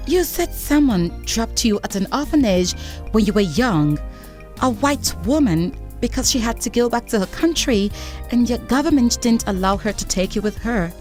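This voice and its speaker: A woman plays a kind, curious shelter keeper in a radio play. Her voice sits fairly high and moves a lot as she asks her questions. Music plays behind her. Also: animated, moderate pace, a little mellow, natural voice. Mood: concerned. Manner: kindly, inquisitive. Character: caring older woman who runs a shelter. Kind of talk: radio drama acting.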